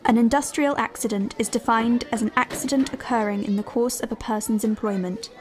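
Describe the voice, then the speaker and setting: A woman narrator reading scripted, literary prose in a deliberate, composed way. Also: fairly high pitch, fairly even intonation, some chatter behind.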